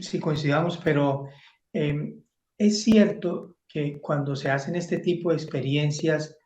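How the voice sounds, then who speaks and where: A man's voice: on the high side, darkish tone. A man explaining ideas as a guest academic in a reflective, emphatic conversational way. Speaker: radio educator and guest panelist. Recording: echoey, dull muffled sound.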